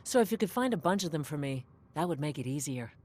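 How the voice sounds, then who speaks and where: A woman's voice: low voice, expressive, fast, darkish tone. A woman playing a driven, vengeful warrior villager in a video game, earnest and intent. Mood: earnest, scheming. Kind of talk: video game character dialogue. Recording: music behind the voice.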